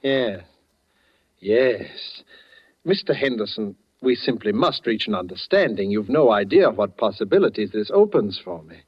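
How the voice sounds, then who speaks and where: An actor playing a sinister, money-hungry gentleman with over-polite, insinuating manners, in 1940s radio suspense style. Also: on the low side, very animated, moderate pace, husky. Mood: smooth, confiding. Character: sinister, courteous, money-hungry man with weak eyes, holding a gun. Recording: dull vintage 1940s radio recording.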